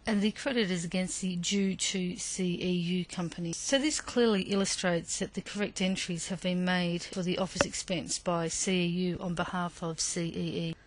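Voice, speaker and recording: A woman giving instructional narration as the presenter of a business software demo. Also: lowish voice, rough recording.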